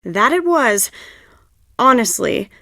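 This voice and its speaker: A woman voicing a stressed, dramatic young stage director in an adventure game, expressive and confiding. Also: fairly high pitch, slow pace, creaky, dry recording, clean studio sound, full character voice. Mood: confiding, troubled. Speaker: video game voice actor. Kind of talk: adventure game dialogue acting.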